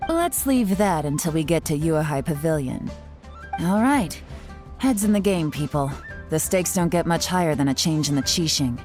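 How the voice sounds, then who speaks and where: An actress playing a confident, teasing young covert operative in a video game, with a sly, unhurried delivery. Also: female voice, deep voice, lively intonation, music in the background. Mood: businesslike. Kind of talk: scripted video game dialogue.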